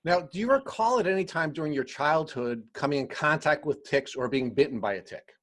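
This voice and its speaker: A man's voice: on the high side, expressive, a little fast, fairly bright tone. A man hosting an interview podcast, reading prepared introductions and putting questions to a guest.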